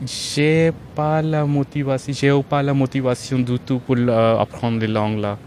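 North Indian man, Hindi native, halting non-native French, mid pitch, faint noise behind. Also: little pitch movement, a little mellow. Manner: hesitant learner's French, many restarts and fillers. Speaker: interview guest. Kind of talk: casual conversational interview.

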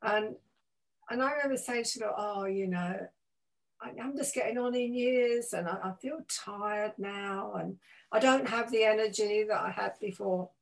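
An elderly woman of eighty speaks as a pastor and radio host. Her pitch is average for a woman, and she talks in a casual, reflective way, sharing personal anecdotes with small exclamations. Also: moderate pace, gravelly, low-quality recording.

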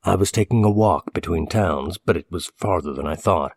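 A man's voice: low voice, moderate pace, husky, no echo, studio quality. A man voicing a guarded, uneasy stranger who talks carefully and tersely in a story.

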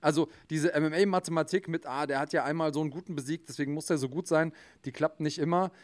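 Man thinking aloud, slightly high voice. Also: steady tone, fast. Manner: thinking aloud, long explanatory turns with fillers. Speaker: podcast co-host. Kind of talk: casual chat.

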